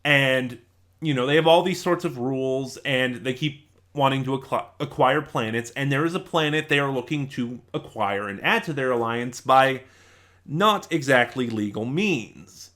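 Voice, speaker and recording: A man's voice: medium pitch, moderate pace, a bit bright. A man giving a casual, unscripted review in a rambling, conversational way, correcting himself as he goes. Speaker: reviewer and channel host.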